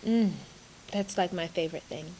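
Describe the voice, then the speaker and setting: A woman's voice: on the low side, moderate pace, mellow, poor audio. Earnest woman from Uganda. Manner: earnest, emphatic, stretches key words. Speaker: guest coach. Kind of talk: interview conversation.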